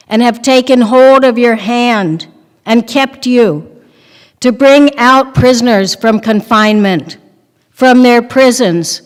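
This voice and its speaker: High, clear older woman in echoey hall. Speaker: reader in readers theater. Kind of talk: scripted staged reading. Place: large temple hall, at lectern. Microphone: microphone on stand.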